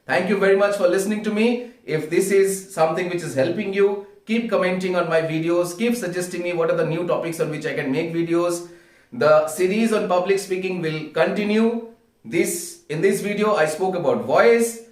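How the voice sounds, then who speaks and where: A man's voice: sits high, normal speed. A man with an Indian accent giving an instructional talk as a public speaking coach, in a didactic way that often checks the listener is following. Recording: echoey room, distant microphone.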